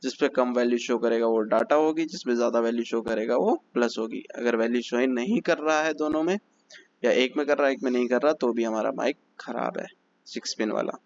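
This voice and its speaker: A man explains a repair procedure one step at a time, repeating each instruction plainly. His voice sits at an ordinary middle pitch. The recording is a little dull and carries a faint hiss. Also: a little fast. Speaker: phone repair teacher. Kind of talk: step-by-step technical lesson.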